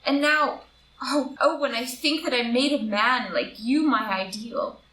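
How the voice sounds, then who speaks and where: A woman's voice: a little high, unhurried, sharp tone. A woman playing a wronged, idealistic society wife in an audio drama, declaiming with bitter heartbreak. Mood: anguished reproach. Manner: declamatory, impassioned. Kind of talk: stage-style audio drama acting. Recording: roomy, distant live reading with noise.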